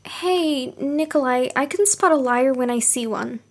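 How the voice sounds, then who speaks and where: A woman's voice: high voice, a little slow. A woman playing an earnest, nosy young woman, a little defensive, in an audio drama. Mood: probing, friendly.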